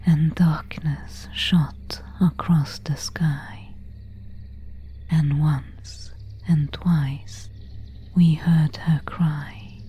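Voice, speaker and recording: A woman voicing an elegant, melancholy vampire in an ASMR roleplay, in a breathy whisper with formal, old-fashioned phrasing. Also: low-pitched, steady tone, very slow, dark tone, full character voice. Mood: hushed, ominous. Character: pale, elegant, melancholy female vampire of an ancient castle.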